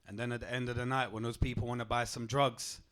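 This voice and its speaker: A young man performs stand-up on a club stage. His delivery is deadpan and punchy, landing each line cleanly at a middling pitch. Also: fast. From England. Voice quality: deep voice. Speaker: stand-up comedian. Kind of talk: stand-up comedy set.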